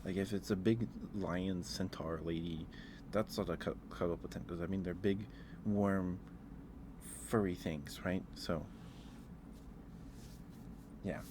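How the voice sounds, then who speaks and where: A man doing a casual, rambling ASMR livestream, speaking in a hesitant, musing way and repeating himself over clear background noise. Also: low-pitched, steady tone, slow pace, long pauses. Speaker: live streamer.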